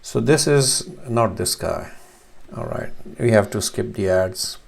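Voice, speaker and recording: A man from Pakistan whose first language is Urdu, talking into a desk webcam in a small room at home. His voice sits low with a gravelly edge, and he teaches hesitantly, full of fillers and restarts. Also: lively intonation, takes it slowly. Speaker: Arabic teacher. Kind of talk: screen-share lesson.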